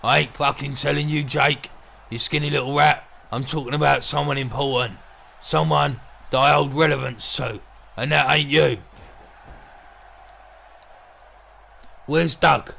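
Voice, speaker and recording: A man playing a gruff, bullying wrestling boss and commentator with a throaty growl and a crude, sweary, threatening way of talking. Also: mid-range voice, steady tone, moderate pace, pushed and bright, exaggerated voice. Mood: gruff, menacing. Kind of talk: wrestling commentary with in-character banter.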